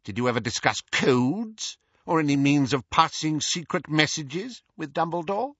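A man voicing a stern, suspicious older government minister in an audiobook, with measured, insistent questioning. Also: fairly high pitch, expressive, fairly bright tone, no echo, highs cut off, pitched above the performer's own voice. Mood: probing, suspicious. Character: stern, probing older male government minister interrogating youngsters. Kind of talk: audiobook character voice.

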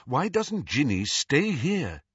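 A man voicing a kindly, awkward man in an audiobook who hastily tries to smooth things over. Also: medium pitch, wildly swooping pitch, takes it slowly, no room sound, lo-fi sound, pitched above the performer's own voice, full character voice. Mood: conciliatory. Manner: hasty, over-bright.

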